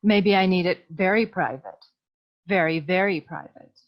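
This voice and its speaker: A woman playing a flirtatious, coy femme fatale client with deadpan delivery in a comic noir radio play. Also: fairly low pitch, a little slow, highs cut off, natural voice. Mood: flirty.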